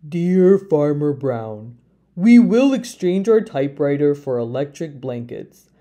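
A deadpan herd of storybook cows, acted by a woman, dictating demands in a low register. The delivery is slow and measured, with a dark, soft tone.

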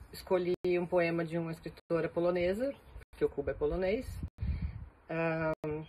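A man's voice: on the high side, darkish tone, slight background noise, poor audio. A man in his thirties, a guest collaborator giving a friendly, upbeat chat, recorded on a phone camera outdoors in a garden. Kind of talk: casual video greeting. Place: sunny back garden outdoors.

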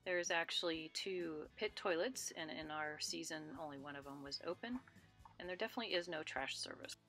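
A woman giving a scripted voice-over as a travel vlogger, with a clear, informative delivery. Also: sits low, brisk, pushed and bright, faint music behind.

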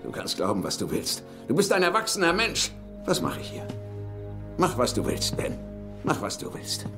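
A man's voice: a little high, wildly swooping pitch, moderate pace. An actor playing a skeptical older father, grumbling and dismissive, in a dubbed film. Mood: resigned, exasperated. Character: bitter, skeptical older father, weary of treasure hunting. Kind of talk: German film dub acting. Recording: film soundtrack with music underneath.

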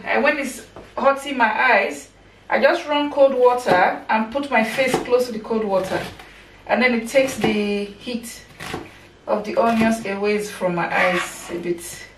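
Chatty woman cooking in an echoey kitchen. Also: on the high side. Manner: chatty, talks while chopping and cooking. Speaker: cooking vlogger. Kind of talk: casual cooking narration. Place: home kitchen, indoors. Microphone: camera mic from across the kitchen. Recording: echoey kitchen, far from mic, background noise.

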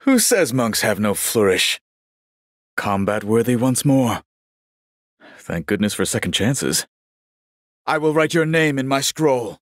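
A man voicing a genial, vain old warrior monk in a video game, speaking in a measured, courtly and wryly pious manner. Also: mid-range voice, sing-song, no echo, clean studio sound. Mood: calm, courteous. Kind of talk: scripted game character voice lines.

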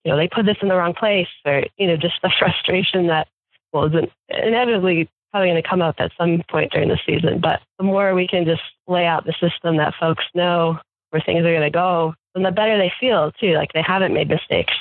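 A woman's voice: fairly low pitch, fairly quick, no room sound. A woman farm manager talking casually in an interview, chatty with fillers and restarts. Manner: chatty, many fillers and restarts, laughs often. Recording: tinny phone line, dry.

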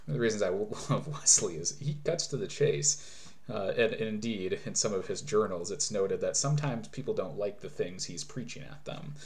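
A man in his thirties delivers a sermon from a small room at home, speaking into a webcam at desk distance. His voice sits somewhat low, and his preaching is earnest and explanatory, with frequent restarts as he works through a point. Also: moderate pace, a little mellow. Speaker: pastor.

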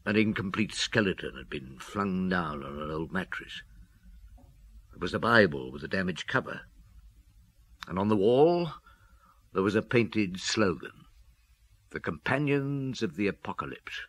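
An actor playing a shrewd police inspector who questions deliberately, in old radio drama style. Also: male voice, low voice, big pitch swings, a bit bright, full character voice. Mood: grim, measured. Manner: deliberate, interrogating.

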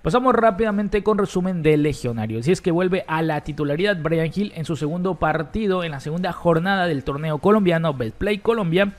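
A man presenting a football news roundup for an online channel, brisk and informative. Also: on the high side, animated. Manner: brisk, reads quotes aloud.

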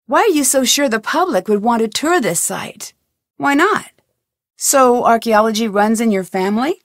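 Casting: a woman playing a sharp, inquisitive young detective, fairly high voice, dry studio sound, wry and probing. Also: expressive, normal speed, no echo, lightly acted. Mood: curious. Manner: inquisitive, questioning, dry wit. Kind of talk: video game voice acting.

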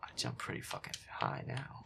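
A man playing a feisty, grumpy werewolf boyfriend who mutters and swears his way through a scary video game, often in a breathy whisper. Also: moderate pace, noisy background, natural voice. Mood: grumbling. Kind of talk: boyfriend audio roleplay as gameplay commentary.

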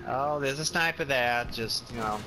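A man in casual gaming voice chat with friends, excitable, laughing often and swearing heavily. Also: medium pitch, normal speed, noisy background. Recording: voice chat over loud game audio. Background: gunfire and battle sounds from the game.

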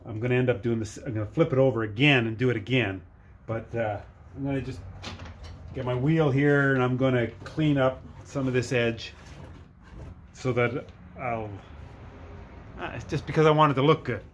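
Middle-aged male DIY maker, mid-pitched, casual and halting delivery while working, light workshop noise on a camera mic. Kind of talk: casual hands-on workshop demonstration. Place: cluttered workshop with dirt floor.

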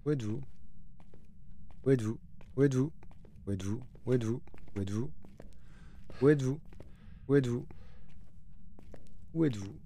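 Man, streamer, dark mellow tone, repetitive chanting, faint background hiss. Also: medium pitch, moderate pace, pauses often. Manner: chants repeated questions to ghosts. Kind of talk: live gameplay streaming.

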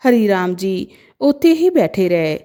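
Mellow, expressive woman storyteller. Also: mid-range voice, unhurried. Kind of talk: scripted narration of a sad moral story.

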